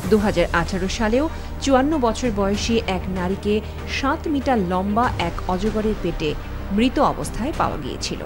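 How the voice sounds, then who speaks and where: A woman from Bangladesh reading a scripted news report in a steady, measured voice. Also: mid-range voice. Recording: voice-over with music bed.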